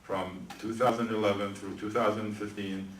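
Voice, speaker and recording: A man making announcements and introducing a speaker at a museum event, halting with many fillers. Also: fairly low pitch, little pitch movement. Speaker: event emcee. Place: museum event room with audience. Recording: echoey room from far off, noisy.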